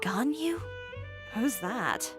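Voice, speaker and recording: A proud, blunt fashion designer. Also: female voice, fairly high pitch, big pitch swings, very slow, heavily acted. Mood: calm. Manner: poised, dry and commanding. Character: proud adult woman fashion designer, determined and dryly blunt. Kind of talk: video game dialogue. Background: game music.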